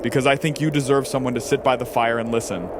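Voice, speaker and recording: A man's voice: mid-range voice, fairly even intonation, fast, background noise, close to an everyday voice. A man playing an earnest, attentive interviewer in an audio drama, probing with short questions. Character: earnest, attentive male time-traveling interviewer. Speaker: interviewer host.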